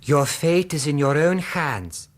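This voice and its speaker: A man's voice: a little high, expressive, slow. An actor playing an earnest, fussy middle-aged Greek interpreter, telling his story formally and precisely in a radio drama. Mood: earnest, animated. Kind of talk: radio drama narration and dialogue.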